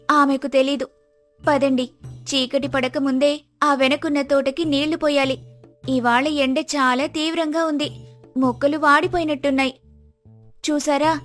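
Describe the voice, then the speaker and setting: A woman voicing a gentle, devoted, hardworking village wife in an earnest, respectful manner for a moral story. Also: high voice. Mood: concerned, earnest.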